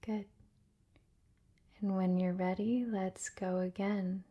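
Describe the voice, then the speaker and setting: Female meditation guide: mellow, near-whispered, gentle, very slow and unhurried delivery. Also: medium pitch, flat delivery, lo-fi sound. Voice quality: soft, breathy, often near-whispered. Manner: gentle, soothing, unhurried, with long pauses. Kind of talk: guided relaxation meditation, soft ASMR-like delivery.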